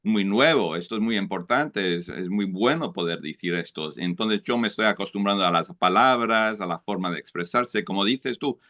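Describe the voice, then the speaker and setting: A man whose first language is English, asking questions in halting Spanish and searching for words. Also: fairly low pitch, pushed and bright, lo-fi sound. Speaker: audience member asking questions.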